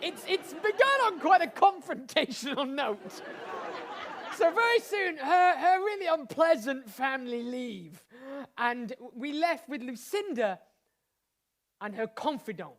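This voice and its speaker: Animated, high-voiced woman hosting improv. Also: measured pace, a faint crowd. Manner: enthusiastic, playful. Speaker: host directing an improvised pantomime. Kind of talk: excited stage hosting and narration.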